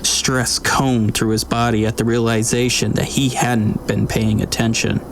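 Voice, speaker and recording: A man narrating an audiobook in a measured storytelling manner. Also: mid-range voice, flat delivery. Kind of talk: scripted narration. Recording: noisy recording with ambience.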